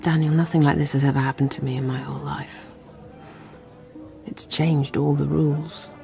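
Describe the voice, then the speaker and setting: A worried, guarded woman in a radio drama. Her voice is low, dark and full of creak, clipped and intimate. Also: flat delivery, moderate pace, faint music behind. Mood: hushed, shaken. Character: guarded, worried grown daughter of a missing mother.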